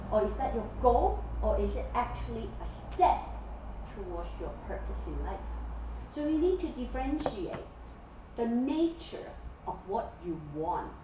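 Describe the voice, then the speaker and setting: A woman's voice: on the high side, noisy background. A woman in her forties giving an interactive classroom lecture and often checking in with "okay?" and "yeah?". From Malaysia. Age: 40s. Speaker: guest lecturer. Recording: echoey classroom from far off, dull.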